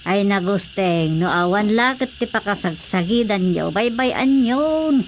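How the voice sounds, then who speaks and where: A woman's voice: medium pitch, animated, unhurried, slight background noise. A woman playing a down-to-earth, questioning village woman with an Ilocano accent in a radio comedy drama. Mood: matter-of-fact.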